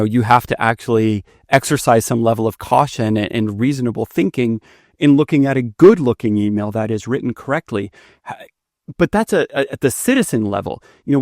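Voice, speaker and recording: A man's voice: medium pitch, no room sound, studio quality. A man who is a native Finnish speaker, hosting a conversational podcast interview. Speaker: podcast host interviewing a guest.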